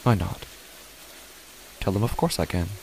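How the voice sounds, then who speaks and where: A man playing an uneasy father who narrates his own horror story in a deliberate, measured way with dramatic pauses. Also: low voice, creaky, a little mellow, slight background noise, rough recording. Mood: grave, uneasy. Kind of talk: scripted first-person horror narration.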